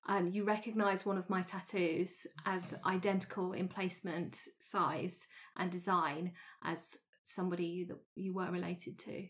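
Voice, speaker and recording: A woman's voice: lowish voice, little pitch movement, moderate pace, hardly any pauses. A woman chatting casually with a group as a tabletop roleplay player.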